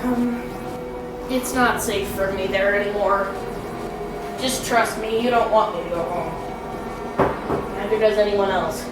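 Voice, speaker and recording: A woman's voice: mid-range voice, fairly even intonation, takes it slowly, pauses often, roomy sound, over loud music, natural voice, poor audio. A woman voicing a defiant runaway teenage girl in an audio drama, evasive and stubborn.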